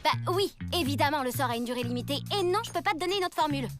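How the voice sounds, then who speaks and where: A woman voicing a small, blunt, scatterbrained fairy student in an animated comedy, with a flippant, sassy delivery. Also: high voice, very fast. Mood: bossy. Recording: faint music behind the voice.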